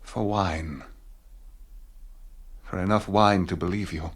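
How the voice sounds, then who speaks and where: A bitter, brooding husband who drinks played by a man in a radio drama. His voice sits fairly low, with a resigned, worn-down manner. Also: takes it slowly, slightly rough, exaggerated voice. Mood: bitter pleading. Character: bitter, world-weary, hard-drinking husband Carlo. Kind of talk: radio play acting.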